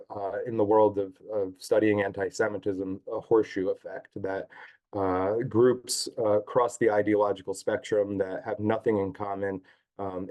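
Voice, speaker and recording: Hoarse guy on a video call, real low and flat, keeps saying uh. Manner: halting, many uh fillers. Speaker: guest speaker, advocacy group staff chief. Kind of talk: online talk.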